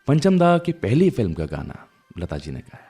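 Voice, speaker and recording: A man with an Indian accent presents a radio show in a mid-pitched voice. He speaks directly to listeners like a storyteller, conversational and reflective. Faint music accompanies his talk. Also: wildly swooping pitch, normal speed, creaky, mellow, husky.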